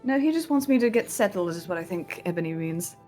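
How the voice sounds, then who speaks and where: A woman's voice: lowish voice, expressive, fast talker, dark tone. A woman chatting casually as a player in an improvised tabletop roleplaying game. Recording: music playing behind the voice. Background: background music.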